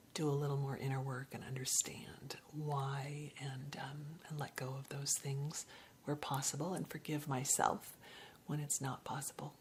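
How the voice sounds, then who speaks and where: Female ASMR host: hushed, breathy whisper, very low, gentle and confiding with unhurried pauses, faint ambient noise behind. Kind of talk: whispered ASMR friend chat.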